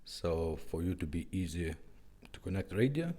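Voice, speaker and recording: Guy doing a casual demo, non-native english, deep voice that goes up and down a lot, kinda halting. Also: expressive, warm tone. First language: not English. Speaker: presenter demonstrating restored car radios. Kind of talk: casual product demonstration.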